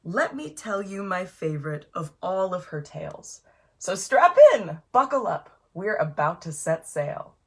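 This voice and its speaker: A woman reading her storybook aloud to children in an animated, encouraging way, addressing young listeners directly. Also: on the low side, a little slow, breathy edge.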